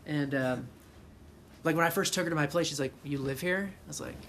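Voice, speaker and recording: A man from Chicago as a podcast guest, telling stories casually in a halting, profane way. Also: fairly high pitch, fairly bright tone, a little hiss or hum. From Chicago, USA. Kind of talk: casual conversational storytelling.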